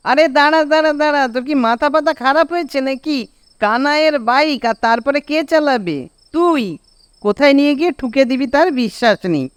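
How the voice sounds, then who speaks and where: A man's voice: very high voice, fairly quick, no echo. A man with a Bengali accent voicing a bossy, scolding young village friend in a cartoon, with a nagging, exasperated manner. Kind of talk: animated cartoon dialogue.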